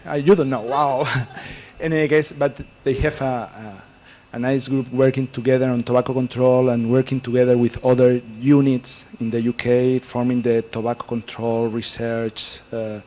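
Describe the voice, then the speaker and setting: A man's voice: medium pitch, little pitch movement, normal speed, hardly any pauses, warm tone, slight background noise, rough recording. A man chairing a conference session, introducing the speakers with many hesitations and fillers. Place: conference hall.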